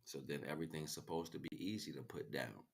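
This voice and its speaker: Very deep-voiced American man, rhythmic talk. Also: fast talker, vocal fry, breathy edge. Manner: rhythmic, rhyming, slangy philosophizing. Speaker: livestream host. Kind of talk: freestyle spiritual monologue to stream viewers.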